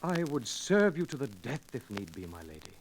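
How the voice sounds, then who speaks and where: An actor playing a bold, passionate young outlaw leader in a 1940s radio adventure, with declamatory archaic speech. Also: male voice, mid-range voice, big pitch swings, normal speed, natural voice. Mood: ardent, tender. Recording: noisy 1940s radio recording with clicks.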